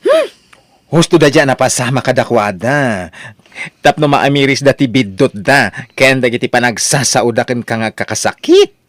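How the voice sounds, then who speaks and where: A man's voice: medium pitch, normal speed, no echo, close to an everyday voice. A man acting as a frank friend and advisor in an Ilocano audio drama. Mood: earnest advising.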